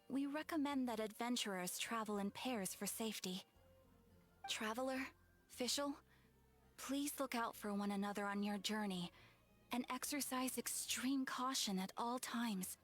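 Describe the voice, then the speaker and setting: A woman's voice: on the high side, fairly bright tone, lightly acted. An actress voicing a polite, professional female guild receptionist in a video game, formal and composed.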